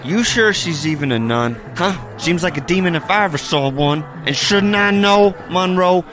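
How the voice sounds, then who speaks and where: A man's voice: high voice, lively intonation, moderate pace. A man playing a drunk, ranting priest. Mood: paranoid. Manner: slurring, rambling, bursts into shouting. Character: drunk disgraced male priest, bitter and rambling. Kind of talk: audio drama acting. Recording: music and crowd noise behind.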